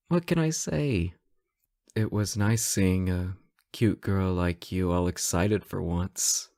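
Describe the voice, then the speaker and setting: Young male superhero played by a man, romantic audio roleplay. Slow-paced, playful, bashful, close dry sound. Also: sits low, a little mellow, dry recording. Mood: playful, gentle. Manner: playful, stammering when shy. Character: teasing, flustered male superhero smitten with his nemesis. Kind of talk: romantic roleplay audio acting, addressed to listener.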